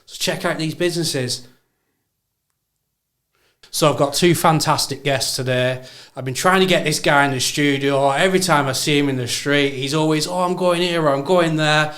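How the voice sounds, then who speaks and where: A man's voice: on the high side, moderate pace, fairly bright tone. A man hosting a podcast, chatting casually and upbeat, laughing often. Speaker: podcast host. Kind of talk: casual interview chat.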